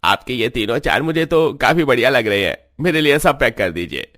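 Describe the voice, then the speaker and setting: A man's voice: mid-range voice, expressive, brisk, a bit bright, close to an everyday voice. A man voicing an appreciative, chatty market customer in a Hindi cartoon story. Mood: pleased.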